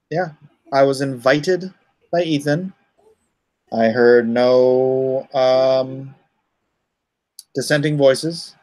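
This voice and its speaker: Slow-paced man in casual livestream chat. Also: mid-range voice, low-quality recording. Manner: informal, excitable exclamations, halting as he reads and types aloud. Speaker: livestream host and comic artist. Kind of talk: casual livestream chat, reacting and thanking viewers.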